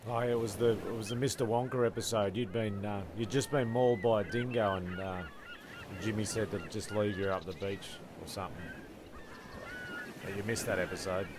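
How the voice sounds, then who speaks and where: A man's voice: fairly low pitch, fairly even intonation, fairly quick, noisy background, lightly acted. A man playing a dry, exasperated storyteller in a castaway comedy radio play, with a rambling delivery. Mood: casual, exasperated. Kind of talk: comic radio play narration and dialogue.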